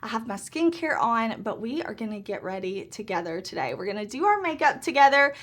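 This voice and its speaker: Brief: woman, thirties, slightly hoarse, bubbly beauty-vlogger chatter, voice pitched a bit high. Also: sing-song, brisk, a bit bright. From Southern United States. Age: 30s. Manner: chatty and upbeat, laughs often. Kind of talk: casual get-ready-with-me makeup chat.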